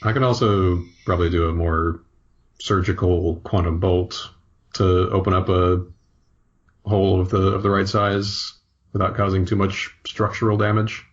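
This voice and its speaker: A man's voice: low voice, steady tone, moderate pace, slightly rough. A man talking casually as a player in a tabletop roleplaying game, working out his moves and dice, on a dull recording.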